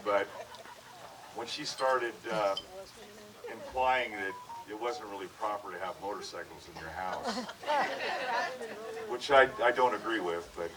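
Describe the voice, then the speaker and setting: A man's voice: fairly low pitch, a little slow, long pauses. A man in his sixties, born in Hawaii, giving a casual, joking memorial speech outdoors on a handheld mic, with many fillers and restarts. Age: 60s. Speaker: widower hosting a memorial gathering. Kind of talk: casual heartfelt speech to a crowd. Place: outdoor backyard gathering. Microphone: handheld microphone. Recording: distant mic with crowd around.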